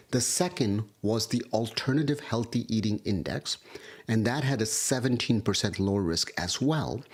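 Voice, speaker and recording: A man, a doctor, giving a livestream health lecture, hoarse from a cold and walking through studies point by point. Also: lowish voice. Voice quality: husky. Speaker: kidney doctor. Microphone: livestream setup.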